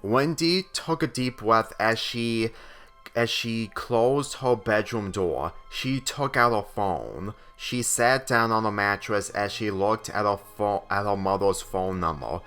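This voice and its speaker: A man reading a story aloud as a narrator, with a halting delivery that sometimes stumbles and repeats phrases. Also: on the low side, a bit bright, clear, resonant tone. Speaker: fan fiction reader. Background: background music.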